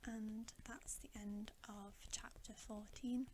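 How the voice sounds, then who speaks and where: A woman reading a bedtime story in a hushed, breathy, deliberate ASMR whisper, close to the microphone. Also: medium pitch. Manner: hushed, unhurried, softly deliberate. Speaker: ASMR storybook reader and host. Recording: steady audible hiss.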